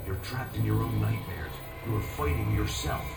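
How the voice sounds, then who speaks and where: An actor playing a calm, cryptic male guide who speaks gravely. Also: fairly low pitch, normal speed. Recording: distant echoey voice under loud music.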